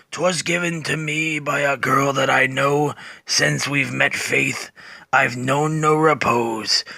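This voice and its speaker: A sorrowful plant monster, voiced by a man, talks in a slow, halting, low drawl. The tone is bright and close, with no room around it. He sounds heavy and withdrawn. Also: creaky, dry recording, heavily acted.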